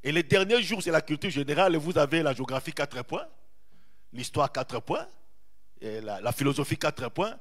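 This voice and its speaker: Animated preaching man, lively pitch. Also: moderate pace. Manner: animated preaching, repeats phrases, draws out key words. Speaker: pastor. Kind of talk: sermon.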